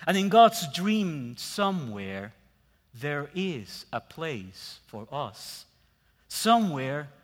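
A man with a Puerto Rican Spanish accent, a guest preacher delivering a sermon in measured phrases with pauses that trail off softly. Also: fairly high pitch. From Puerto Rico. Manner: slow, measured preaching with dramatic pauses and soft trailing endings. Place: church sanctuary. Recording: long church reverb.

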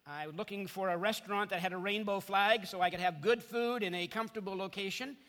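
A middle-aged man from Canada delivers a guest sermon in a lively, storytelling way, poking fun at himself. His voice sits high and sounds bright and forceful. It rings out with a long reverb tail in a large hall. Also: fast. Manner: chatty, self-deprecating storyteller. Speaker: guest preacher. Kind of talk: sermon with humorous stories.

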